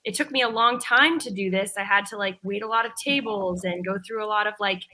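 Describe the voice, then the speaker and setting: A woman's voice: medium pitch, a little fast, sharp tone. A woman talking casually as a voice actor on a panel, earnest and emphatic in an informal way. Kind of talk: casual roundtable discussion.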